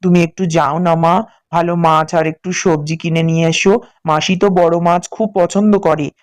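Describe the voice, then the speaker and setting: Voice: medium pitch, fairly even intonation, normal speed, no room sound, close to an everyday voice. An actor playing a dutiful grown son in a village cartoon family drama, affectionate and persuasive. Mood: pleading.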